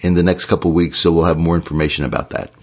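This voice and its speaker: A man, hoarse from shouting, co-hosting a podcast in a casual, encouraging way. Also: sits low, level tone, racing. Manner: earnest, encouraging advice. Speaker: podcast co-host.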